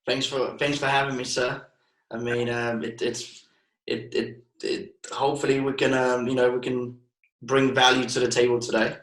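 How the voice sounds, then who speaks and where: A man's voice: medium pitch, level tone, moderate pace, a bit bright, roomy sound, rough recording. A man in his twenties from Bulgaria, a marketing guest in a casual interview, talking with many restarts and fillers. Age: 20s.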